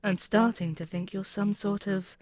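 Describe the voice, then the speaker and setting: A woman's voice: medium pitch, fairly even intonation, moderate pace, a little mellow, no room sound, clean studio sound, lightly acted. A woman playing a haughty, scheming demon queen in an audio roleplay, taunting and menacing in a theatrical way. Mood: exasperated, taunting. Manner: haughty, taunting, theatrical, dropping at times to near-whisper. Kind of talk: scripted ASMR audio roleplay, dramatic monologue.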